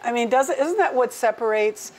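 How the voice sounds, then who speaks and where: A woman's voice: a little high, animated, normal speed, slight background noise. American woman host in her sixties. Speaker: interview host. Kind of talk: conversational interviewing. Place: indoor club bar lounge. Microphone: lapel.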